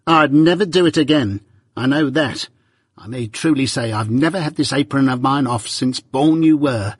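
A man voicing a shrill, scolding blacksmith's wife who talks in a sharp, loud, hectoring way. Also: expressive, a little slow, husky, no echo, studio quality.

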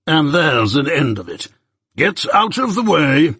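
A man's voice: a little high, big pitch swings, measured pace, bright, forceful tone, breathy edge, no room sound, clean studio sound, pitched above the performer's own voice, exaggerated voice. A man voicing a stern, domineering male lawyer with harsh, scornful, clipped commands. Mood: dismissive.

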